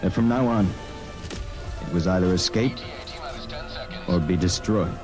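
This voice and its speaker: A grim, hard-boiled hero narrating an old radio play. His low voice tells it deliberately and dramatically, over music and the sound of gunfire. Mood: haunted, grim.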